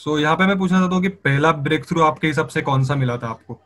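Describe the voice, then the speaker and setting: A man's voice: fairly high pitch, fast, fairly bright tone. A man with an Indian accent hosting a casual online interview, asking long questions that he rephrases as he goes. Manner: wordy, rephrases questions at length. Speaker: interviewer, channel host. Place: remote call on social media live.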